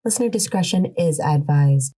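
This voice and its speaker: A woman's voice: deep voice, expressive, moderate pace, dark tone, breathy edge. A woman reading scripted narration as the narrator of a horror podcast.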